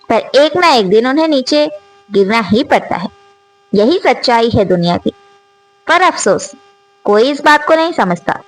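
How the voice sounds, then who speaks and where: A woman telling a reflective moral story in a slow, earnest manner, rising on rhetorical questions as the channel's storyteller. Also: on the high side, no echo, poor audio.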